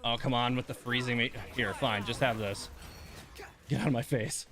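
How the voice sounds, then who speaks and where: A man's voice: mid-range voice, fairly even intonation, moderate pace. A man streaming a game, giving casual live commentary with muttered, mildly exasperated asides to himself.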